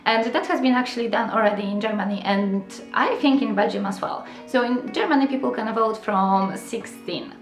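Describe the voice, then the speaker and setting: Young woman vlogging, kinda high voice, earnest, lots of ums, echoey room w/ faint music. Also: normal speed, few pauses. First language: Polish. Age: young adult. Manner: earnest, fillers, addresses viewers with questions. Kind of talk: casual explainer vlog to camera.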